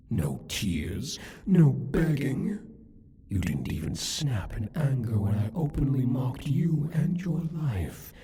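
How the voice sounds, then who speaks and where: Male actor as demon king, ASMR roleplay: low-pitched, very breathy, haughty, possessive, mocking, savoring each deliberate line. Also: mellow, exaggerated voice. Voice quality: breathy, husky. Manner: taunting, condescending, deliberate, theatrical. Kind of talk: ASMR roleplay, addressed to the listener.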